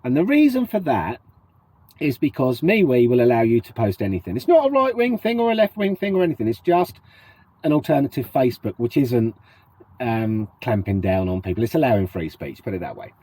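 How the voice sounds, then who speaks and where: A man's voice: medium pitch, very animated, brisk, mellow, husky. A middle-aged British man with a cold and a sniffly voice, chatting casually to his vlog viewers and putting questions to them. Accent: British English. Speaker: vlogger. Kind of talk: casual to-camera chat.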